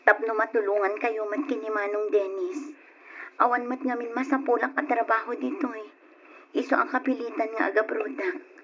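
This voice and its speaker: Woman playing a soft-spoken young daughter. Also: on the high side, steady tone, normal speed, crowd noise, lightly acted. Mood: earnest. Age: young adult. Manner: soft, respectful. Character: young jobless college graduate, respectful daughter. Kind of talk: old radio drama acting. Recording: dull old radio recording with faint hiss.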